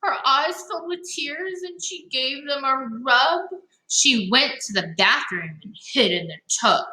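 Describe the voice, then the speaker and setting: A woman reading a storybook aloud to children, with expressive, dramatic emphasis and playful asides. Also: high-pitched, unhurried. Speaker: read-aloud host for children. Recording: echoey, roomy sound.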